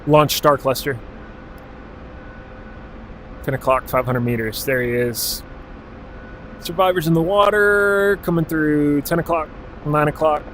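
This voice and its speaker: A man's voice: fairly high pitch, unhurried, long pauses, slight background noise. Laid-back, swearing male game streamer. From Alaska. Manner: relaxed and profane, calling out clock directions and ranges. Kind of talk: casual gaming livestream commentary.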